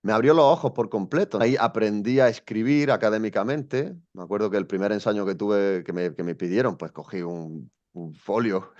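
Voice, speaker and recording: A man's voice: lowish voice, moderate pace, hardly any pauses, a little mellow, muffled recording. A young man from Spain, a guest telling his story in an interview, thoughtful and hesitant with many restarts. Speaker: guest, education coach.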